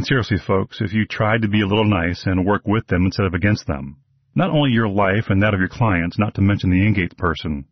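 Husky man hosting a podcast. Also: on the low side, no echo. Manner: direct, advice-giving, wry asides. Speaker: podcast host, horse show announcer. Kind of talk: scripted podcast monologue. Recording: dull lo-fi dry recording.

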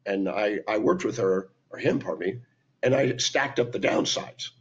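Hoarse older male speaker, raspy and low, emphatic lecturer, dull webcam sound. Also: moderate pace. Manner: emphatic, lecturing, lists points with hand gestures. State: hoarse from laryngitis. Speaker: speaker and teacher. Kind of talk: talking to camera, teaching talk. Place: indoor room at home.

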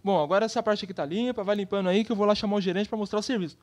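A woman's voice: mid-range voice, racing, a little mellow, lightly acted. A woman with a Brazilian accent voicing a carefree, teasing boy best friend in a children's comedy cartoon, breezy and colloquial.

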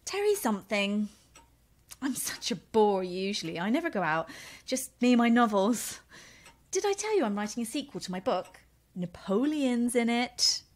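An actress playing a lonely, eager-to-please romance novelist in an audio drama, hesitant and apologetic. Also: female voice, a little high, big pitch swings, moderate pace. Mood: flustered, polite. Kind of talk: naturalistic audio drama acting.

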